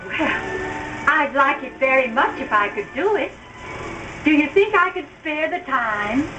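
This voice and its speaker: Older mother-in-law: meddling, high-pitched, self-righteous, old radio drama, recorded at a distance. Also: animated, a little slow, music in the background. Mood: modest, softening. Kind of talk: 1930s-style radio drama acting.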